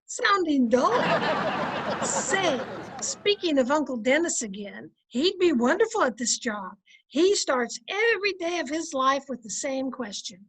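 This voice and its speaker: A woman playing a dry-witted, gently teasing housewife in an old-time radio comedy, with a folksy manner. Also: sits high, lively intonation, a little slow, poor audio. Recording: home video-call recording.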